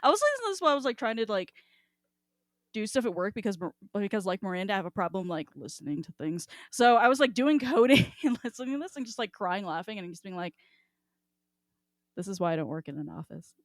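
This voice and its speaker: Young woman, podcast co-host. Slangy, giggly, casual, medium pitch, dry sound. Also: sing-song, fast, no echo. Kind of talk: casual chat about an audio drama.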